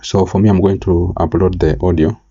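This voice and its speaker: Very deep, flat-voiced man. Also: slightly rough. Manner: halting, step-by-step explaining. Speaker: tutorial presenter. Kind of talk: screen-recorded software tutorial narration. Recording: slightly dull, lo-fi mic.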